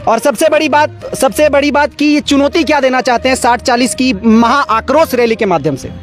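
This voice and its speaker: A male news interviewer with a strikingly high voice, heard over faint music. He puts questions in a field-report style and echoes key words back with small murmurs of agreement. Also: fairly quick.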